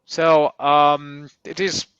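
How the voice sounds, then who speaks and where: A man with an Italian accent, answering interview questions slowly with many hesitant fillers. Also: a little high, flat delivery, a bit bright, clear, resonant tone, dry recording, muffled recording. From Italy. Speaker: guest timber engineer.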